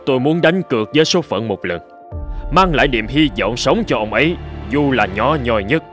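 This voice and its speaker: A man acts out a middle-aged cartoon professor of medicine, earnest and hopeful. He speaks at a medium pitch in measured, solemn phrases, with music playing behind him. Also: expressive, close to an everyday voice. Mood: firm resolve. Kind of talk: dramatic animated-film dubbing.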